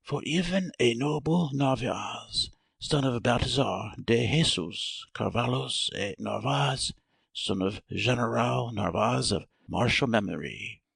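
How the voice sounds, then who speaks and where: A very gravelly, husky male adventurer, acted by a man for an audiobook drama. He is a teasing gentleman, recorded dry with almost no room around the voice. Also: mid-range voice, no echo, exaggerated voice. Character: gleeful mimicking gentleman adventurer with rifle-toting rescuers.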